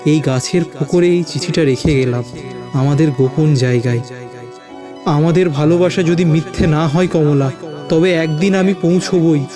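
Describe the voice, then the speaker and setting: A man's voice: fairly high pitch, moderate pace, natural voice. A man playing an anguished young village lover voicing his farewell letter in an audio story, earnest and pleading, with music behind. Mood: tender, sorrowful.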